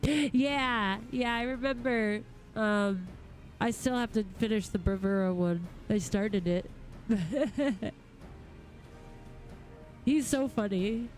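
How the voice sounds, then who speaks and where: A woman's voice: a little high, slow pace, pauses often, darkish tone. A woman livestreaming a game, chatting casually in a giggly, goofy way. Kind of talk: casual livestream chat while playing. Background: music.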